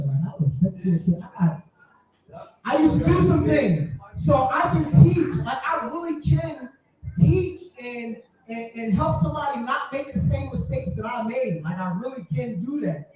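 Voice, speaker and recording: A man in his forties with a strikingly high voice tells stories in a boisterous, casual way, repeating phrases for effect. He sounds far from the mic in a very echoey room. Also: expressive, normal speed, a little mellow. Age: 40s. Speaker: podcaster telling their story at a live event. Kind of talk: casual live storytelling to an audience.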